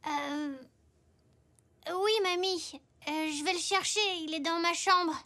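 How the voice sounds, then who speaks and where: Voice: very high-pitched, steady tone, slow, bright, forceful tone, exaggerated voice. A performer voicing a young zebra boy in a children's cartoon, eager, polite and easily worried. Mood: hesitant.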